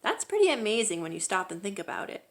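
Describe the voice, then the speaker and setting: A woman reads a picture book aloud for children. Her voice sits at a medium pitch, and she delivers the story expressively, leaning into key words like a storyteller. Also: sing-song, fast.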